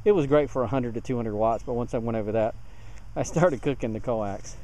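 A man's voice: medium pitch, fairly quick, a little mellow, rough recording. A man giving a casual, plain-spoken hands-on tutorial as a hobbyist demonstrator, recorded outdoors on a handheld camera. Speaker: DIY radio hobbyist demonstrator. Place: outdoors in a yard. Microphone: handheld camera mic. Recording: outdoor handheld camera, clear background noise.

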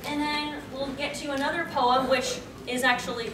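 A woman's voice: sits high, flat delivery, moderate pace. A young woman hosting a panel in a casual, giggly, hesitant way, speaking to an audience. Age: young adult. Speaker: panel host. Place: convention meeting room, on a stage. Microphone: distant camera microphone. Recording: distant, echoey, noisy camera recording.

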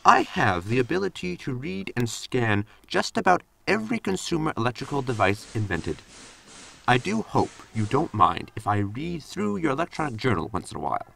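A man's voice: mid-range voice, very animated, normal speed, creaky, a bit bright, full character voice. An actor playing a blunt, cheeky male android in a sci-fi comedy audio drama, matter-of-fact and polite with a sting.

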